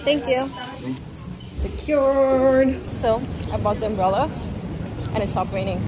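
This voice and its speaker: A woman's voice: high voice, slow. A woman in her thirties from Nepal, talking through her day in a candid, chatty vlog, partly indoors and partly on the street with a handheld camera. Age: 30s. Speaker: vlogger. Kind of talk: casual vlog narration. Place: outdoors on city streets.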